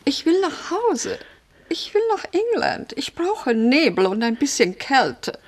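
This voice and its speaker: A woman's voice: high voice, very animated, takes it slowly, slight background noise, heavily acted. An actress playing a fretful, theatrical English lady in a radio drama, plaintive and dramatic. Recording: prominent music behind the voice.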